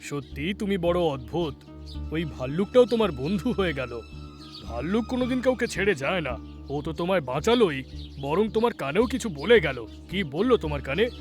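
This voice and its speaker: A man voicing a timid young man in a children's animated story, speaking in an earnest, questioning way. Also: fairly high pitch, moderate pace, faint music behind. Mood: curious. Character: timid young man, fearful, easily impressed friend.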